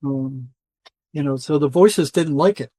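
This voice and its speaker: An older man speaks in a somewhat high-pitched voice. He tells stories in a loose, rambling, colloquial way, as a guest in conversation. The sound is dry, with very little room echo. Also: a little slow, mellow, husky. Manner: rambling, colloquial, acts out quoted dialogue. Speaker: interview guest, retired psychotherapist. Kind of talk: conversational storytelling, casual interview.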